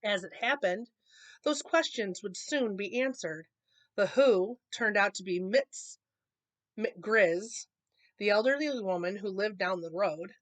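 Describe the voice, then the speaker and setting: A woman reading a picture book aloud to children, expressive, with casual explanatory asides. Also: mid-range voice, takes it slowly. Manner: expressive, explaining to young listeners.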